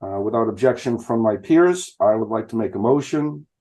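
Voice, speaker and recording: A man's voice: on the low side, normal speed. A man, reading a list of license renewals aloud at a town select board meeting, with some fumbles and restarts. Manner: reads names in a flat list with fumbles and restarts. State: stuffed up from illness.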